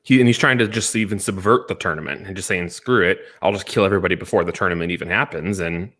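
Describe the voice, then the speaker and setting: A man's voice: deep voice, quick pace, fairly bright tone. A man speaking as a panelist in a casual, conversational movie debate. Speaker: panelist on a movie review show.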